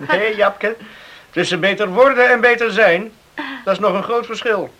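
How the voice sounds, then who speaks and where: A teasing man in a radio play. His voice is bright and forceful as he gently cautions and jokes like one of the family. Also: high-pitched, moderate pace. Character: teasing, cautioning household member. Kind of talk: radio drama acting.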